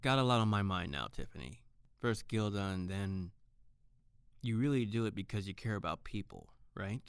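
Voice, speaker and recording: A man performs an earnest hard-boiled detective in a noir audio drama. He narrates his own life with melodramatic anguish and wide swings in pitch. A long, hall-like reverb surrounds the voice. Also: animated, moderate pace, clear, resonant tone. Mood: concerned.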